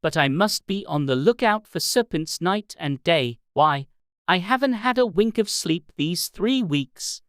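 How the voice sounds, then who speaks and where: Storybook pigeon mother, put on by a narrator: indignant, suspicious, contemptuous, clear-toned and very animated. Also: unhurried, no echo, studio quality. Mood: sobbing complaint. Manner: scolding, shrill exclamations, contemptuous.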